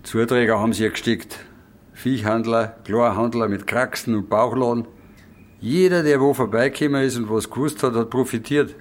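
A man's voice: mid-range voice, fairly even intonation, normal speed, natural voice. An actor playing an opinionated, matter-of-fact village man explaining old customs in a radio drama, with a Bavarian accent. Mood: knowing.

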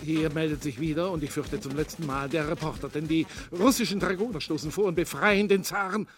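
An actor playing an excitable battlefield reporter giving a parody news bulletin in a radio comedy. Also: male voice, a little high, fairly quick, lightly acted. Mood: urgent reporting. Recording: crowd din behind the voice.

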